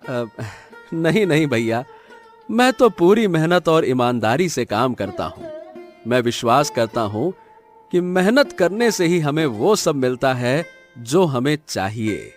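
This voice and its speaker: A man's voice: on the high side, very animated, unhurried, faint music behind. A man voicing a helpless, honest poor young farmer in a cartoon story, pleading and wailing with stammered repeats. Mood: earnest, meek. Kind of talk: Hindi cartoon moral story acting.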